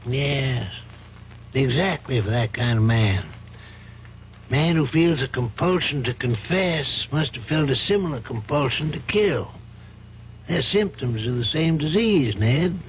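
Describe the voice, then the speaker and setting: Old judge, calm and patient, old radio play sound, muffled with a bit of hiss. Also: male voice, medium pitch. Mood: reflective. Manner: measured, fatherly, gently probing. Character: calm older male judge, wise and patient. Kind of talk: 1970s radio drama acting. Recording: dull old radio broadcast, faint hiss.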